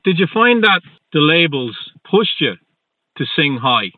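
A man's voice: on the high side, big pitch swings, unhurried, no room sound. A male interviewer asking questions with short "yeah" affirmations and some stumbling restarts. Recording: dry, tinny phone-like line.

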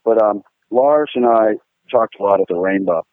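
A man from Pittsburgh, a guitar-playing guest, telling rambling, animated stories with lots of fillers. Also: lowish voice, normal speed, dry recording. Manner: rambling, animated, fillers, laughs at own jokes. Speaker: guest musician, guitar player. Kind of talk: casual storytelling interview. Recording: tinny phone-line sound, dry.

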